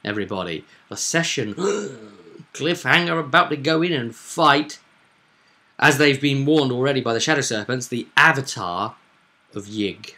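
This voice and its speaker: Male game master for a recap vidcast; forceful, bright tone, theatrical pauses, conversational. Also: mid-range voice, expressive. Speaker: host and game master recapping a tabletop session. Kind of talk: casual recap discussion of a tabletop roleplaying game.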